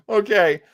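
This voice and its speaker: A man's voice: sits high, dry recording. A man co-hosting a casual fan podcast, talking in an informal conversational way. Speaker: podcast co-host.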